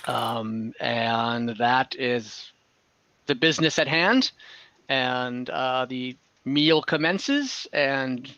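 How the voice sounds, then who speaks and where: A man working as game master, improvising narration for an online tabletop game in a halting way, searching for words. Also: medium pitch, lively intonation, sharp tone, no echo.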